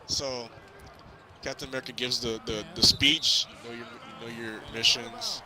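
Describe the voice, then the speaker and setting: A man's voice: mid-range voice, steady tone, moderate pace. Casual man chatting over crowd noise. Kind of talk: casual movie recap chat while playing a video game. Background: crowd noise and basketball bouncing from a game.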